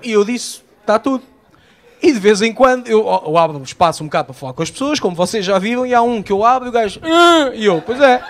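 A man with a high, light voice and a European Portuguese accent tells his stories live on a small open-air stage at night. He is in his thirties and holds the microphone in his hand. He tells his tales with energy and acts out the people in them. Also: fast, a little hiss or hum. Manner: animated storytelling, acting out quoted voices. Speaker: stand-up comedian. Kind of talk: live stand-up routine. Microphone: handheld microphone.

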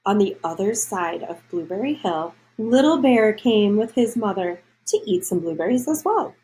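A woman's voice: mid-range voice, lively intonation, moderate pace, darkish tone, low-quality recording. A woman reading a children's storybook aloud, adding explanations and questions for young listeners.

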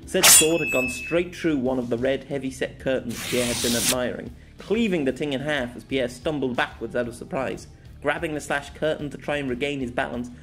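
A man reading a fantasy novella aloud as an amateur audiobook narrator, with steady storytelling and occasional slips. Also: medium pitch.

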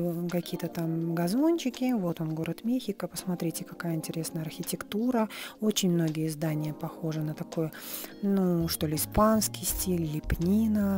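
Hoarse middle-aged woman, phone vlogger, candid and chatty with whispered asides, faint music underneath. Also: lowish voice, darkish tone. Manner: chatty, frank, playfully crude, drops to whispery asides. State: slightly hoarse. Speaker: vlogger, psychologist. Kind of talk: casual travel vlog, room tour. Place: hotel and city streets in and out of doors.

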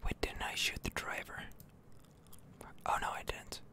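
Slow whispering ASMR gamer. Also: pushed and bright. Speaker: gaming streamer, ASMR video host. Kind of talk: whispered ASMR game commentary.

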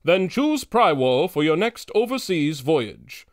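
An actor playing a grandiose announcer and pitchman for an old-time radio serial. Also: very animated, slow, no echo, clean studio sound. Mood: salesmanlike. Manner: grandiose, dramatic.

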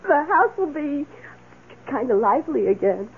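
An actress in a radio drama playing a chatty, good-natured housekeeper with a fluttery, drawn-out delivery. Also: female voice, high voice, very animated. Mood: pleased, fluttery. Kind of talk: 1970s radio drama acting. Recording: tinny old radio broadcast.

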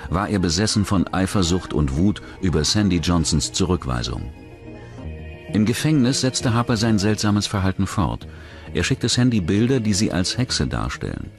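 Very deep-voiced man narrating true crime. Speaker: documentary narrator.

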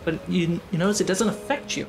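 A man acting as game master, narrating a tabletop fantasy game in suspenseful phrases broken by pauses. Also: sits high, music in the background.

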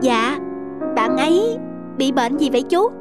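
A woman's voice: high-pitched, very animated, unhurried, over loud music. A woman voicing a kind, helpful young boy in a cartoon, earnest and encouraging. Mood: sympathetic. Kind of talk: cartoon dubbing.

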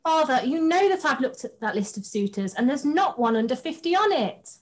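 A woman's voice: high voice, sing-song, brisk. A young woman playing a coy but defiant princess in an amateur pantomime read-through, prim and declaiming. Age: young adult. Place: indoors at home, on a video call.

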